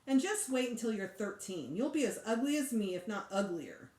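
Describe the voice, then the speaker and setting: A woman's voice: fairly high pitch, animated, moderate pace, warm tone, natural voice. A woman reading a storybook and voicing a sulky, dramatic, snappish thirteen-year-old girl. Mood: wailing, snappish. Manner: melodramatic, snappish. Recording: roomy echo.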